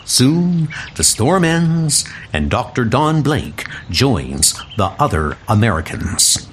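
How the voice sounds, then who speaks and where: A man narrating a comic book audio drama in a theatrical, measured way, with music behind. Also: medium pitch, very animated, slight background noise.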